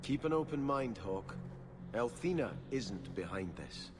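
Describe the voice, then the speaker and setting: An actor playing a prim, middle-aged government official in a video game, speaking in a formal, measured and dryly disdainful way. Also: male voice, medium pitch, big pitch swings, noisy background. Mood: insistent. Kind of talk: video game cutscene acting. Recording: echoey hall reverb.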